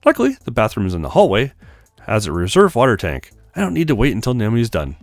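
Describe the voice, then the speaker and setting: A man's voice: medium pitch, wildly swooping pitch, fast talker, dry recording, soft background music. A man reading the part of a conscientious, pensive young man, the protagonist of a visual novel. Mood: concerned.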